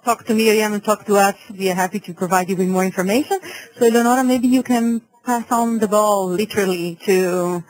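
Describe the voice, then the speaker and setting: A woman's voice: mid-range voice, brisk, hardly any pauses, darkish tone, low-quality recording. A woman speaking as the host of an online webinar, presenting to participants.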